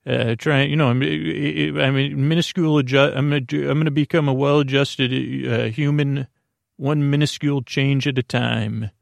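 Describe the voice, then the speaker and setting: A man hosting a sleep podcast in a rambling, soothing bedtime monologue, halting and repetitive, with a gravelly, creaky voice. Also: medium pitch, steady tone, no echo. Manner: meandering, halting, with gentle repetition.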